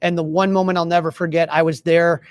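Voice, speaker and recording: A man with a high voice talks sports as a podcast co-host. He is opinionated and emphatic in a casual fan's way, and the sound is close and dry. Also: flat delivery, fast talker, no room sound. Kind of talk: casual sports talk and commentary.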